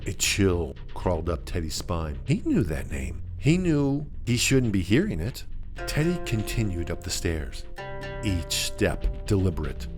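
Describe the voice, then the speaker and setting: Expressive, lowish male suspense narrator. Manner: dramatic, deliberate pauses. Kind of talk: scripted suspense story narration. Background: music.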